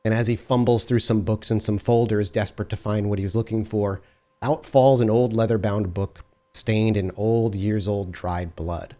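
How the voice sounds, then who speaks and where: A man's voice: fairly low pitch, steady tone, normal speed, mellow. Man narrating a tabletop horror game. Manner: descriptive, restarts mid-sentence. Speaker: storyteller.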